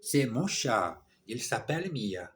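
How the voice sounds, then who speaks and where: A man records himself on his phone as he learns French. He speaks slowly at a middle pitch, often stopping to repeat and correct himself as he works through lines of dialogue. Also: sing-song. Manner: halting, repeats and self-corrects, reads dialogue lines aloud. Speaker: language learner. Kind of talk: casual language practice vlog. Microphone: phone camera.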